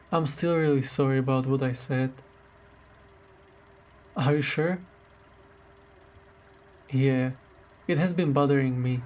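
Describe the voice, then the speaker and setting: A man playing a tender, caring boyfriend in a romantic roleplay audio drama, speaking gently and affectionately. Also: medium pitch, close to an everyday voice. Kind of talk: romantic roleplay audio drama, intimate one-sided dialogue.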